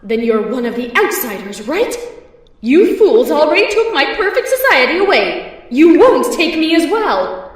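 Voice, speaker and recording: Embittered female cartoon pony , played by a woman: high, swooping, haughty, heard far off in reverb. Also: sing-song, a little slow. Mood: bitter defiance. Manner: indignant, haughty. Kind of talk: cartoon acting. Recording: distant voice in long echoing reverb.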